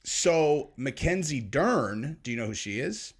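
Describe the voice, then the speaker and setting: A man's voice: fairly high pitch, very animated, a little slow. A young man co-hosting a podcast, telling a story in a rambling, profane way full of fillers. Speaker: podcast co-host. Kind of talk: casual chat.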